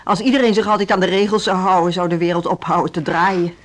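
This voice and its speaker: A woman's voice: lowish voice, level tone, quick pace, lightly acted. An actress playing an indignant, stubborn woman who leads a music school in a Dutch radio play. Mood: stubborn, suspicious.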